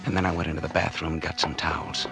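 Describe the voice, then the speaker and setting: A vintage radio thriller recording with music behind it. a deep-voiced American businessman, a scheming husband turned killer, who speaks with tense, hard-boiled, confessional urgency. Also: level tone, rapid-fire, vocal fry. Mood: grim, tense. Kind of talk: 1940s radio suspense acting with first-person narration.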